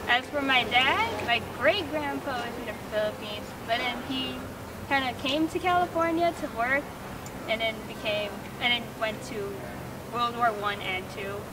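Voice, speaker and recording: A young student speaks in a light, high voice, casually running through her family's history. She is recorded from afar outdoors, with loud traffic behind. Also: normal speed. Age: young adult. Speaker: student interviewee. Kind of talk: casual street interview. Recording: distant mic, loud street noise.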